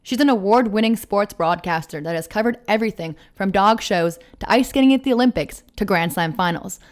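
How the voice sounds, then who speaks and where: A woman's voice: medium pitch, expressive, brisk. A woman reading a scripted introduction for a podcast, announcing its guest.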